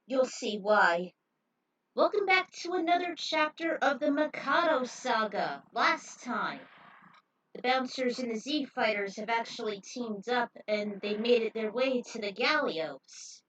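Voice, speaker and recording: A woman hosting a fan fiction series, speaking informally in a casual storytelling style with drawn-out emphasis. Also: a little high, lively intonation, a bit bright, recorded from a distance, poor audio. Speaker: host reading own fan fiction series. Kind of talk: casual recap and story read-aloud.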